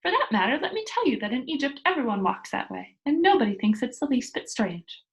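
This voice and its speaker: A woman's voice: high-pitched, very animated, brisk. A woman voicing a bold, boastful, free-spirited little girl in a storybook reading, with an emphatic, matter-of-fact delivery. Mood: defiant, boastful.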